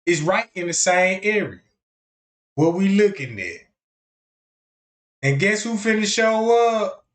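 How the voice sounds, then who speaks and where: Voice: medium pitch, fairly bright tone. A livestream host talking casually over video footage, pointing out details to viewers. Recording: echoey room.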